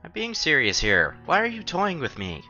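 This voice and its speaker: A man's voice: fairly high pitch, normal speed, muffled recording. A man streaming a visual novel, reading it aloud dramatically, acting out the lines and adding casual asides. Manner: animated, acts out protagonist's lines. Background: soft game music.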